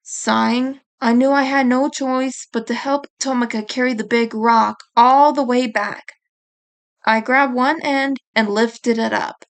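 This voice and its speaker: A woman narrates a story in a high voice. She takes her time, reading at a slow, deliberate pace in the manner of an audiobook storyteller. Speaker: author reading own book chapter.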